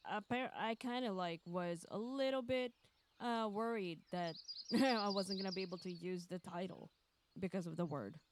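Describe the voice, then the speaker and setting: A woman's voice: on the low side, noisy background. A woman hosting a stream in casual chat, rambling and hesitating as she goes. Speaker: streamer hosting a group visual novel stream.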